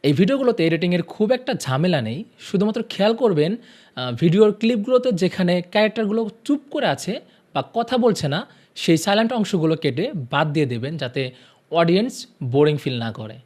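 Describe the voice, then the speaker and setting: A man's voice: sits high, lively intonation, quick pace, a little mellow. A man presenting an instructional tutorial, guiding viewers directly step by step.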